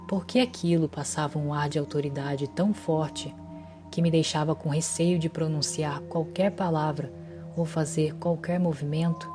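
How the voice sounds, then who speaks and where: A woman narrating a horror story in a measured, somber way, with music underneath. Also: deep voice. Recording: music bed behind voice.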